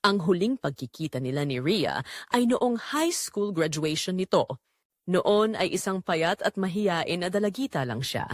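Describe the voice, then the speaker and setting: A woman's voice: fairly low pitch, lively intonation, unhurried, no room sound, clean studio sound. A woman narrating a scripted dramatic story.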